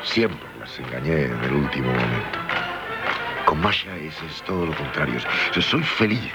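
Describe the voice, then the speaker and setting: An actor playing a dissolute, self-loathing gentleman drinker in a 1970s radio drama, with a hesitant, stammering delivery. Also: a bass voice, animated, normal speed. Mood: fervent confession. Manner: hesitant, stammering, broken by wry laughs. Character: dissolute, self-loathing drunkard gentleman confessing his passions. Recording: 1978 radio broadcast with loud music behind.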